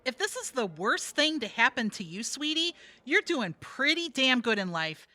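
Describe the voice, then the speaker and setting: Brassy, sarcastic streetwalker, high-pitched. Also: female voice, sing-song, normal speed, pushed and bright, dry recording, full character voice. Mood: mock serene. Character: brassy, sarcastic streetwise woman prostitute, jaded jail regular. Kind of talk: audio theatre comedy acting.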